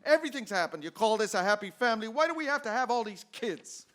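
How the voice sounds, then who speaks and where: A man's voice: high-pitched, big pitch swings, fast talker, a bit bright. A man playing a desperate, short-tempered family man in financial ruin in an old-time radio drama. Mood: irritable, angry. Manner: agitated, swinging from outbursts to subdued pleading.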